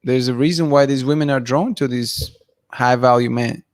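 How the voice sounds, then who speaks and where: Man in his thirties, hoarse voice, blunt and opinionated, dry close studio mic, livestream host. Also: mid-range voice, fairly even intonation, normal speed, no room sound. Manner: blunt, opinionated, thinks aloud with restarts. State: losing his voice, clearing throat. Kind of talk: casual livestream talk reading chat comments. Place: dim room at night, indoors. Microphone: studio mic in front of him.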